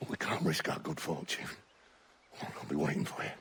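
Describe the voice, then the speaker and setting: An actor playing a gruff, bitter pirate captain in a video game, with salty, cursing, sardonic talk and a gravelly voice. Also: male voice, a bass voice, normal speed, noisy background. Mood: grim. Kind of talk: dramatic video game acting.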